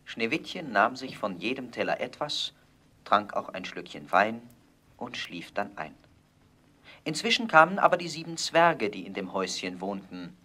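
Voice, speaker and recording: A man narrating a fairy tale in a calm, even storytelling voice. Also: on the low side, moderate pace.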